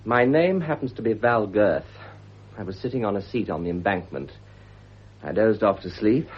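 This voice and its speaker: An actor playing a well-spoken, down-on-his-luck young British gentleman, polite and wry, in a 1950s radio drama. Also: fairly low pitch, expressive, normal speed. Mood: wry, puzzled. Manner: polite, wry, educated phrasing. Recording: dull, husky 1950s radio recording.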